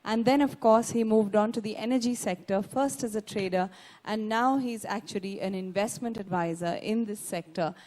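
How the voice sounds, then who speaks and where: A woman's voice: mid-range voice, normal speed, darkish tone, slight background noise. A woman acting as master of ceremonies at a conference, introducing the next speaker politely with small hesitations. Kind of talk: conference moderation. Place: conference stage.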